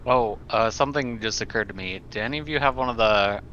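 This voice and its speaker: Bright, forceful man in casual game chat. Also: lowish voice, fast. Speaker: tabletop roleplaying player. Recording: dull lo-fi sound, faint noise.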